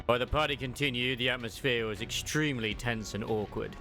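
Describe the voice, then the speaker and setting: A man's voice: mid-range voice, brisk, music in the background. A man reading scripted story narration as a voice-over for a fandub.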